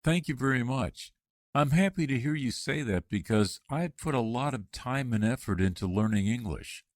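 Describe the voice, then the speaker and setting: A man playing a job candidate in a scripted practice interview for English learners, reading clearly. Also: medium pitch, expressive, moderate pace, no echo, studio quality.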